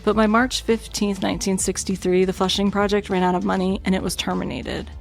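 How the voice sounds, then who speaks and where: A woman's voice: mid-range voice, level tone, brisk, creaky, soft background music. A woman co-hosting a true crime podcast, telling the story in a chatty, self-deprecating way. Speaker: podcast co-host.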